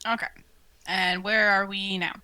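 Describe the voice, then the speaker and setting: A woman's voice: medium pitch, level tone, a little slow, pushed and bright. A woman chatting casually as co-host of a commentary, upbeat, often interjecting and asking questions. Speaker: co-host asking audience questions, voice cast member.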